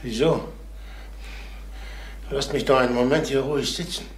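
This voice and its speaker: An actor playing a tired, defensive salesman in a German crime radio play, speaking brusquely, evasively and haltingly. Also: medium pitch, fairly even intonation, close to an everyday voice. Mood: irritated, dismissive. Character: tired, irritable male salesman, shaken and defensive. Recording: faint noise on old radio recording.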